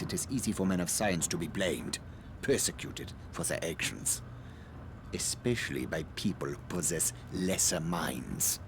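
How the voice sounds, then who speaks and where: Video game scientist: low voice, formal and precise, politely condescending, slippery, ambient noise underneath. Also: male voice. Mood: self-justifying. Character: evasive self-justifying male scientist, polite and condescending. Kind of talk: dramatic video game cutscene acting.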